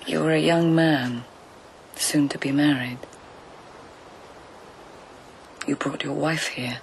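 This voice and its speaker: An observant lady of the old Japanese court in a radio drama. Her delivery is slow, lyrical and breathy, with creak at the edges, over faint background ambience. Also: sits low, fairly even intonation, a little hiss or hum, low-quality recording. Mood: probing, gentle. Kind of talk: radio drama acting and narration.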